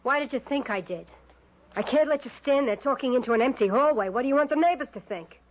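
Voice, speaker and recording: A woman playing a nagging, suspicious, sharp-tongued wife in an old-time radio drama, sarcastic and scolding. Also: high-pitched, animated, fast talker. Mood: exasperated. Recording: dull lo-fi radio recording.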